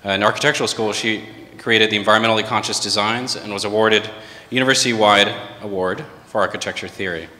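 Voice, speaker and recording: A man's voice: fairly low pitch, sharp tone. A man hosting a panel event, introducing the sponsor and reading out the moderator's bio. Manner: rapid, reading a prepared bio. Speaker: event host, company CEO. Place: event space with long reverb. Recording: reverberant room, faint ambience.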